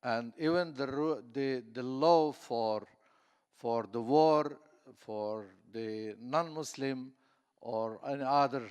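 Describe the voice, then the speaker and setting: A man's voice: mid-range voice, slow pace, a little mellow, clean tone. A man from southeast Turkey, speaking on a conference panel with many fillers and restarts. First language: Kurdish. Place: conference hall. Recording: echoey conference hall.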